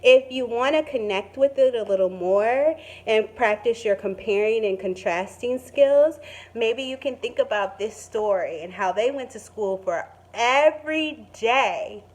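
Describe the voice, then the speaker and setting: Woman teacher, children's read-aloud, animated pitch, slightly high voice, emphatic dramatic storytelling. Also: a little slow. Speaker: teacher reading to young pupils.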